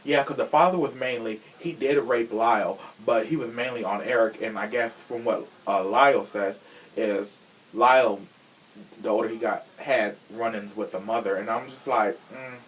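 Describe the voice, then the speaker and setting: Male podcast host, mid-pitched, casual and slangy, with sassy, blunt delivery. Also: moderate pace, a bit bright, slight background noise, low-quality recording. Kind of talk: casual true crime podcast chat.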